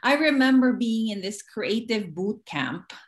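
A woman in her forties hosting an interview podcast, bubbly and quick to laugh. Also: a little high, lively intonation. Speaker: podcast host. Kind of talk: casual interview chat.

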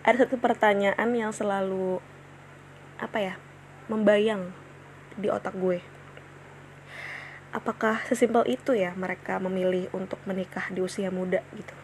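A woman's voice: medium pitch, darkish tone, slight background noise, rough recording. A woman in her twenties hosting her own casual solo podcast, chatting in slang with many fillers and restarts. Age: 20s. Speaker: podcast host.

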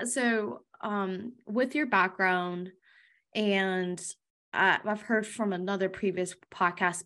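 A woman's voice: medium pitch, fairly bright tone. A woman who hosts a podcast and works as a life coach, introducing her guest and asking questions in a friendly, conversational way. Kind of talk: casual interview hosting.

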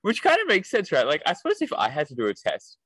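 Casting: young man, chatty host, very lively intonation, jokey and rambling, dry studio-like sound. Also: on the high side, fast talker, husky, dry recording. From Australia. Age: young adult. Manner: animated, rambling, self-interrupting, jokey. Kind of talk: casual chat.

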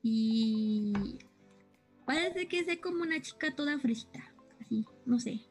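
Young woman, high voice, casual chatty explaining, faint music behind. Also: animated, measured pace. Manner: chatty, searches for words, trails off. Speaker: co-host explaining anime terms. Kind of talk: casual chat.

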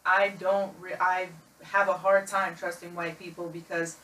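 A woman's voice: lowish voice, flat delivery, unhurried, a bit bright, recorded from a distance. An emphatic young woman argues her points in a casual group podcast. She sits away from the mic, so her voice reaches it with a roomy echo. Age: young adult. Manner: passionate, emphatic. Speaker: guest.

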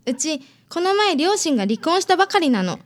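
A stubborn young woman consulting a detective in a radio drama, acted by a woman. Her voice is high and moves animatedly in pitch. She talks in a casual, youthful way. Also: very animated, a little fast. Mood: bitter.

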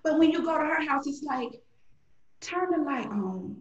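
A middle-aged Southern woman from Alabama, a podcast guest who tells her stories with animated, playful flair. Her voice sits a little high for a woman. The room rings with a noticeable echo around her. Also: moderate pace, lo-fi sound. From Alabama, USA. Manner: animated storytelling, playful, acts out voices in quotes. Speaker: guest, grocery shopper. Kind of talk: casual podcast conversation.

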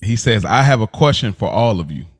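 Low-voiced man hosting a casual podcast. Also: lively intonation, a little fast, dry recording, studio quality. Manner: colloquial, slangy phrasing. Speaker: podcast host. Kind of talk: casual TV recap podcast chat.

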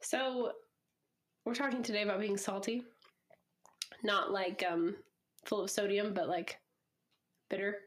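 A woman's voice: medium pitch, fairly even intonation, measured pace, pauses often. A young woman hosting a casual podcast, slightly wheezy from a recent illness, chatting and laughing often. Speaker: podcast host. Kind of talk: casual chat. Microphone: headphones, podcast mic.

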